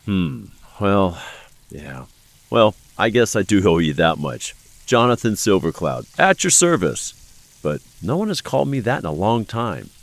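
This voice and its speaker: A man playing a suave, secretive inventor with a gravelly voice in an audio drama. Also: lowish voice, big pitch swings, measured pace, no room sound. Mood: playful. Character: suave, secretive male American inventor of Cheyenne roots.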